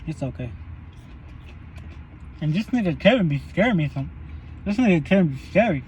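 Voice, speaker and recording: Young male vlogger, slightly high voice, loose and profane, eating in a parked car with faint traffic behind him. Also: lively intonation, moderate pace, darkish tone, dull audio. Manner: loose, rambling and profane while chewing. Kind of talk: casual eating vlog monologue.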